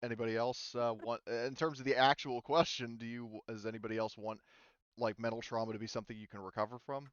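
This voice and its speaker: Male game master, clean smooth tone, informal and halting, explaining rules on a dry, slightly muffled voice chat. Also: mid-range voice, level tone, no echo.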